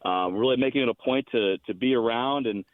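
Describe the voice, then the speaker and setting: A man's voice: medium pitch, a little fast, dry recording, narrow, tinny audio. A man who works as a hockey radio announcer, chatting as an interview guest in an enthusiastic, self-deprecating, joking way. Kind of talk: casual radio interview chat.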